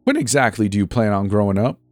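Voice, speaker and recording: A man voicing a stern, scornful woman who is a high school principal, scolding and spitting out words in a dramatic story reading. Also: fast talker, no room sound, soft background music, close to an everyday voice. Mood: angry.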